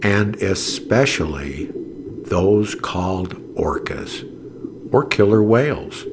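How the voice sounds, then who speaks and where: A man reading a scripted children's story as narrator, in a dramatic, deliberate way. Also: deep voice, expressive, noisy background.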